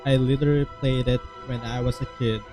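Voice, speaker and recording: Guy streaming, mid pitch, offhand jokey chat, music going. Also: level tone, brisk. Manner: offhand, joking asides. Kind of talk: casual gameplay commentary. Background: game music.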